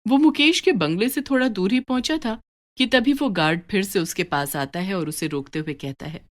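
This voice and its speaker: A woman narrating a scripted moral story for children in an expressive storyteller manner. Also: mid-range voice, normal speed.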